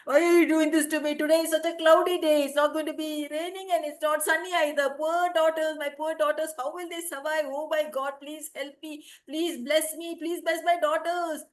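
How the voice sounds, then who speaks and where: A woman's voice: level tone, fast talker, lightly acted. A woman doing a wailing, fretful old mother. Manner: wailing, high and tearful.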